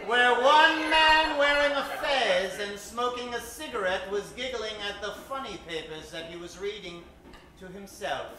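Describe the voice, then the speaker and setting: A man's voice: high voice, unhurried, roomy sound, a crowd in the background, low-quality recording. A man playing the storyteller narrator of an old-time radio adventure serial.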